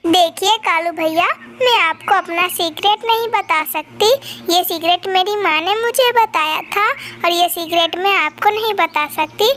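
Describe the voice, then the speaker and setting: Woman playing little cartoon mother bird, extremely high voice, polite, patient, clever, bright tone. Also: slight background noise. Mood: politely refusing. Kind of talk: children's cartoon dialogue.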